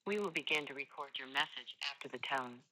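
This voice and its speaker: A woman's automated phone menu voice reading scripted prompts in a measured, formal announcing way. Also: very deep voice, expressive, pushed and bright, low-quality recording.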